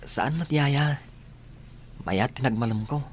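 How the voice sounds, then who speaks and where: A man's voice: medium pitch, slow pace. A man with a Filipino accent playing a sweet, grateful young student and respectful grandson in a radio drama. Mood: playful.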